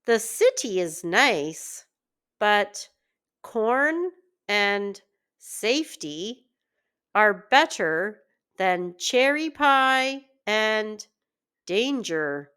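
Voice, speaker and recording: Woman voicing a timid country mouse, very slow. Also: mid-range voice, big pitch swings, a bit bright, smooth, heavily acted. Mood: earnest. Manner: slow, earnest read-aloud delivery for children. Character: timid, homely male country mouse, plain-living.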